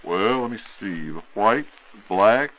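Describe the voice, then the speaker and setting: Male American announcer and interviewer, 1940s radio style. Fairly low voice, scripted phrasing, dull archival sound. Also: lively intonation, measured pace.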